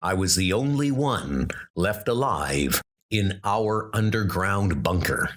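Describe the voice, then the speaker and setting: Man as a grave, low-voiced veteran leader. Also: lively intonation, slow, fairly bright tone, exaggerated voice. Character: haunted, dutiful male knight leader and war veteran.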